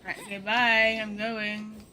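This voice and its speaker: A woman's voice: medium pitch, flat delivery, slow, sharp tone. A woman giving casual, blunt and mildly sarcastic live commentary as she plays a game. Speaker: game streamer.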